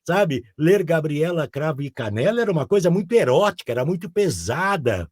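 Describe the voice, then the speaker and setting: A man's voice: fairly high pitch, very animated, fairly quick, darkish tone, no room sound, studio quality. A man in his sixties from Rio Grande do Sul, a guest chatting in a rambling way and often checking in with the listener. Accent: Rio Grande do Sul Portuguese. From southern Brazil. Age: 60s. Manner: rambling, keeps asking "sabe?". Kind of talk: casual interview chat.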